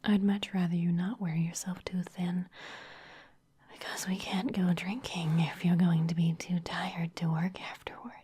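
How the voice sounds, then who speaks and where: A predatory female vampire boss teases in a hushed, breathy whisper, played by a woman. Her voice is dark and soft. She speaks slowly and with control, drawing out each line. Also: fairly low pitch, little pitch movement. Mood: smug. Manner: whispered throughout. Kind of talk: ASMR roleplay.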